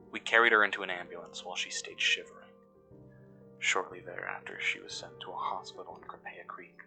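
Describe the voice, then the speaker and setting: A low-pitched, uneasy and cynical excavation worker , played by a man, narrates a mystery audio log. The delivery is measured and brooding, pausing mid-sentence. Music plays behind the voice. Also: creaky, bright, forceful tone, heavily acted, low-quality recording. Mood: wary, somber. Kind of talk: scripted first-person mystery narration.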